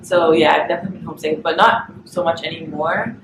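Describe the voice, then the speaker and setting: A woman's voice: sits low, fairly even intonation, fast. A young woman from Barbados, chatting about home as an international student and filling her talk with "like". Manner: chatty, fills speech with "like". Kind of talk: documentary interview. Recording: echoey room with clear noise.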